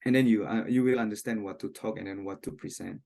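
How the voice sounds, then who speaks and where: A man from Indonesia with an Indonesian accent, speaking as an interview guest in a casual, candid way, with halting phrasing and frequent hedging words. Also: lowish voice, creaky, mellow.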